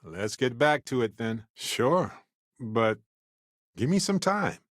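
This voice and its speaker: An actor playing a witty, adventurous male space explorer companion in a video game, speaking in an expressive, conversational way. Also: medium pitch, slow pace, no room sound, studio quality. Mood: wry, curious.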